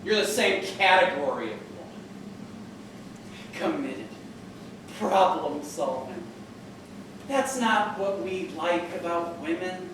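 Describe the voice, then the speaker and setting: An actor playing a cynical, mocking husband who holds forth in slow, sardonic pronouncements. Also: male voice, high voice, full character voice. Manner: mocking, drawn-out pronouncements with dry laughs. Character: sardonic, cynical middle-aged husband who lectures and mocks. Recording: roomy, distant mic with background noise.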